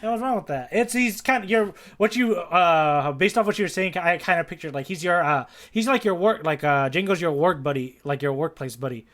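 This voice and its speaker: A man's voice: a little high, lively intonation, fairly quick, few pauses, fairly bright tone. A man co-hosting a podcast in casual, conversational chat. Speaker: podcast co-host.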